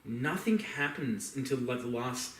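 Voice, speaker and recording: A man's voice: medium pitch, expressive, unhurried, a bit bright, a little hiss or hum. A man co-hosting a podcast, chatting casually in a rambling, slangy, conversational way. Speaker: podcast co-host. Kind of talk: casual chat about film and games. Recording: roomy, echoey, distant mic.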